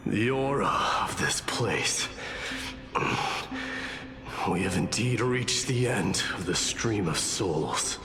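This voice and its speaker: An actor playing a solemn, weary departed warrior in a video game, grave and formal, with ghostly reverb. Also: male voice, lowish voice, expressive, takes it slowly. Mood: grave, resolute. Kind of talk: scripted video game voice acting. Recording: long echoing reverb, music behind.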